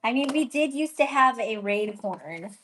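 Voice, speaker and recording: A woman's voice: a little high, expressive, a little slow, a bit bright. Giggly upbeat woman co-hosting a sale. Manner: upbeat, giggly, reads buyer names. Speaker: co-host of pin sale. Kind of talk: casual live-sale chat.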